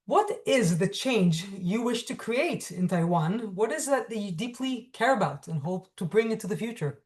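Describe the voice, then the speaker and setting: A woman host giving a scripted introduction and interview questions in a formal, measured, articulate way. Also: mid-range voice, lively intonation, a little mellow.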